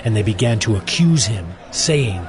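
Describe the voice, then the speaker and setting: A man narrating scripture from a script in a solemn, measured manner. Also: fairly low pitch, expressive, noisy background.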